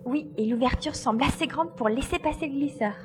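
A woman playing a brisk, impatient, resolute female pilot in a French audio drama for young listeners. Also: high-pitched, background noise, lightly acted. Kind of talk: French audio drama adapted from a youth novel.

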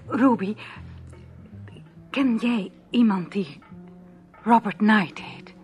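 An actress playing an earnest, hesitant young lady with a soft-spoken manner in a radio drama. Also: medium pitch, very slow, soft background music. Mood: anxious.

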